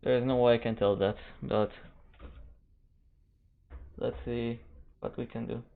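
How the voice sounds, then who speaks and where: Man, second-language English, esports stream host, casual chat, halting phrasing, thin phone-like audio. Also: mid-range voice, flat delivery, creaky. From Canada. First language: Spanish. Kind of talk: casual stream chat while waiting for a match. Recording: narrow phone-like band.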